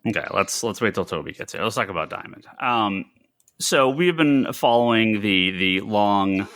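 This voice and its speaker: Forceful low-voiced American man, dry sound. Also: lively intonation, normal speed, no room sound. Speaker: podcast co-host. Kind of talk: casual industry news discussion.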